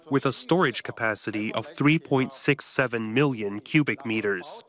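A man reads out a translated statement slowly and carefully. His voice sits at an ordinary pitch for a man. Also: a bit bright.

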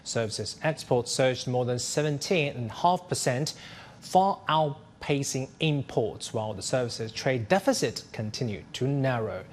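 A man anchoring a business news program, reading a formal script in a measured way. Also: mid-range voice.

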